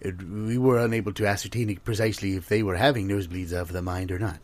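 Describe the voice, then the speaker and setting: A man's voice: fairly low pitch, steady tone, fast talker, lightly acted. A man playing a flustered, apologetic academic researcher, stammering formally through an improvised comedy interview. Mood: flustered, hesitant.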